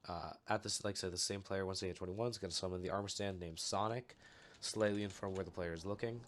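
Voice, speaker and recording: A young man recovering from a cold, calmly walking through a step-by-step game tutorial with a slightly off voice. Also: low-pitched, fast talker, slight background noise. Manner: relaxed, explanatory, steady walkthrough with fillers. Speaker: channel host giving a game tutorial. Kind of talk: casual screen-recorded tutorial.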